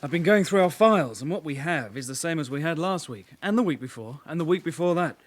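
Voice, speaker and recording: Higher-voiced young detective constable. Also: male voice, animated, quick pace. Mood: exasperated. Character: young male detective constable, earnest sidekick. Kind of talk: British radio drama acting.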